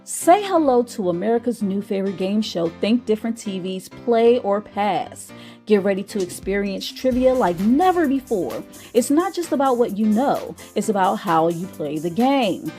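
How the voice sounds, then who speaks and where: A woman host reading a scripted promo voice-over in an energetic announcer style. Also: mid-range voice, normal speed. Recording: faint music bed behind.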